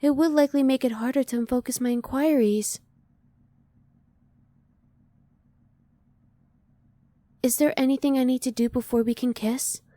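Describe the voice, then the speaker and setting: A woman voices a polite, analytical alien woman with a fairly high, mellow voice. She is soft-spoken and emotionally reserved, choosing formal words with measured curiosity. The sound is close and dry, in the style of an ASMR roleplay. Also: steady tone, no echo, natural voice. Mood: curious, tentative. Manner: formal, measured, precise diction.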